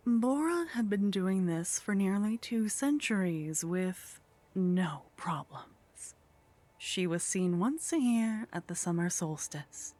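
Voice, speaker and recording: A woman's voice: lowish voice, warm tone. Woman playing a languid, teasing vampire lady, ASMR. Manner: languid, teasing, haughty, often dropping into whispers. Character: teasing, condescending female vampire, sly, centuries-worldly contact. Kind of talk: ASMR roleplay, soft intimate acting.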